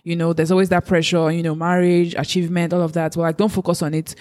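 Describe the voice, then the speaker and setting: A woman's voice: lowish voice, little pitch movement, fast, darkish tone. A woman from Africa in a casual chat, giving earnest, faith-driven encouragement. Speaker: co-host giving advice.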